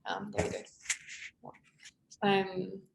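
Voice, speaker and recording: A woman runs an online workshop, her voice carrying a gravelly fry. She talks things through thoughtfully, with plenty of ums and restarts. The video-call audio is roomy and echoey. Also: mid-range voice, sing-song, drawn-out words, a little hiss or hum. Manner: thoughtful, many fillers and restarts. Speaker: workshop facilitator.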